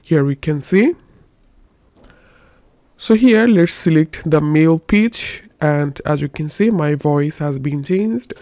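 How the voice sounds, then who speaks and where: Woman through a lowered, male-pitched voice changer. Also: lively intonation, takes it slowly, mellow, no room sound. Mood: neutral. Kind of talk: software tutorial demonstration.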